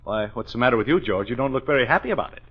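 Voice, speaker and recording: A man's voice: medium pitch, expressive, very fast, husky. A man playing an eager, trusting American business associate in an old radio comedy drama. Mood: pleased, excited. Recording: dull old radio recording.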